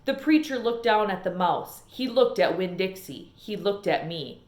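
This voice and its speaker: A woman reading a children's novel aloud as an expressive storyteller, in an echoey room. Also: medium pitch, moderate pace. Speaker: storybook reader.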